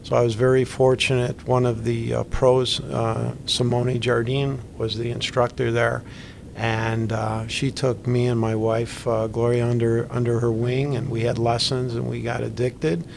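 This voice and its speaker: A middle-aged man with a gravelly voice speaks as a pickleball instructor in casual conversation. He tells his stories in a chatty, easygoing way, with a faint hum behind him. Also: medium pitch, little pitch movement, unhurried, a little mellow. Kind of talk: podcast conversation.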